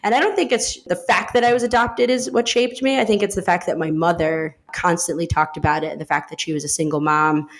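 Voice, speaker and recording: Low-voiced reflective woman. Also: fairly even intonation, fairly quick, few pauses. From upstate New York. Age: 30s. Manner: reflective, many fillers and restarts. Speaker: guest, managing director of a design studio. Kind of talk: casual interview talk.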